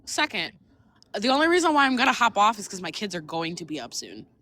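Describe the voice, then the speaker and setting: Young female guest, casual conversational delivery, mid-pitched with animated swings. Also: quick pace. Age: young adult. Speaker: live chat guest. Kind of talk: casual chat.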